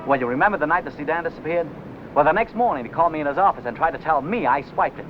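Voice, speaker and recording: An actor playing a brash, indignant young working man in a 1950s film, slangy and animated. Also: a little high, rapid-fire, lightly acted. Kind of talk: 1950s film noir acting. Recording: muffled, noisy old film soundtrack.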